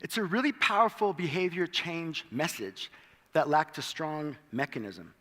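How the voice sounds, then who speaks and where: A man's voice: a little high, normal speed, fairly bright tone, in a large hall. A South African-born man with an American accent giving a conference presentation, mixing light self-deprecating humor into the talk. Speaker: conference speaker. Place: large hall.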